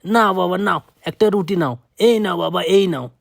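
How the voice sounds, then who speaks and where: A man voicing a cheeky, clever young village boy in a cartoon, excitable and repeating words urgently. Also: sits high, brisk, creaky, dry recording, clean studio sound, heavily acted. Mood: obliging. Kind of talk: cartoon acting. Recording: loud music under the voice.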